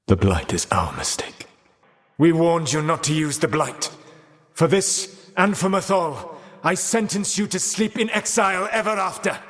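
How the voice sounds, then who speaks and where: An actor playing an ancient, proud elven rebel mage burdened by regret in a video game, speaking in a grave, formal, measured way. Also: male voice, medium pitch, in a large hall, lightly acted. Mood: defiant. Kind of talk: theatrical video game voice acting.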